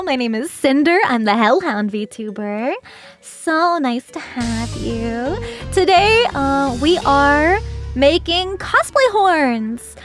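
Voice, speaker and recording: High-voiced, chatty woman streamer. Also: a bit bright. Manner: chatty and giggly, lots of fillers like "like" and "um". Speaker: streamer, cosplayer. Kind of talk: casual live-stream chat while crafting.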